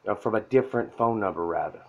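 A soft-spoken man giving a blunt, profane, pushy motivational talk straight to the camera as a self-help vlogger. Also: fairly low pitch, quick pace, dull audio, a little hiss or hum. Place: indoor room at a whiteboard. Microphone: phone camera mic.

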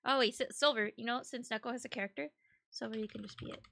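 High, bright-voiced woman hosting. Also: steady tone, fast, creaky. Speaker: host of live dub session. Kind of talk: casual chat.

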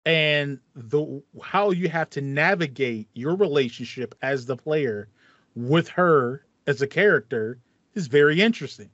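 A man's voice: fairly high pitch, animated, unhurried, fairly bright tone, no echo, highs cut off. A man in his thirties with an American accent, co-hosting a casual podcast chat with slangy, storytelling asides. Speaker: podcast co-host. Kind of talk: casual chat about video games. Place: small home room with foam wall panels. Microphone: headset, video call.

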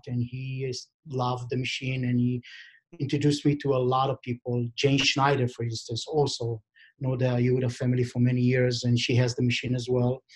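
A middle-aged man with an Israeli accent speaks English on a webcam call from an office, at a medium pitch for a man. He talks in a halting way, stopping often with fillers and restarting his sentences as he looks for the words. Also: a little mellow, poor audio. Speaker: diamond expert and vendor. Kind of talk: video call interview. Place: office indoors. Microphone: video call webcam.

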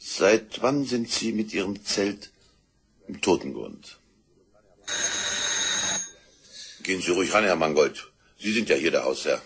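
An actor playing a brusque, dryly sardonic chief inspector in a German radio crime drama, brisk and authoritative. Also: male voice, low-pitched, lively intonation, breathy edge, exaggerated voice. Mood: probing. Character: brusque, dry, commanding male police chief inspector. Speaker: police detective.

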